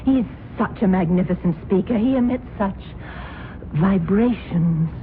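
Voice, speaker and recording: An actress playing a wealthy wife who gushes about a cult, with a breathy, gushing manner, in an old radio drama. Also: female voice, medium pitch, a little slow, background noise, natural voice. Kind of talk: 1970s radio drama acting. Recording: phone-like old radio broadcast with music.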